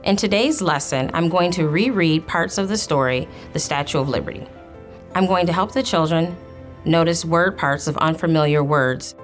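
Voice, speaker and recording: A woman's voice: sits low, normal speed, soft background music. A woman who teaches young children, speaking instructively as she explains lessons, reads and guides pupils. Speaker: elementary school teacher. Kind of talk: explaining a lesson, reading aloud, questioning pupils.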